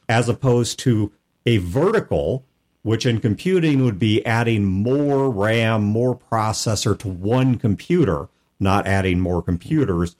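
A man with a fairly low voice, talking through ideas in an easy, methodical way. He co-hosts a casual gaming chat show and backs each point with an example. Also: animated, measured pace, darkish tone. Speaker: podcast co-host.

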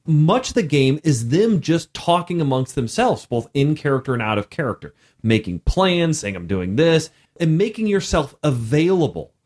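A man co-hosting a casual podcast chat about running games, with a loud voice that projects and an energetic, laughing manner. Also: fairly high pitch, moderate pace, hardly any pauses. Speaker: podcast co-host and game master.

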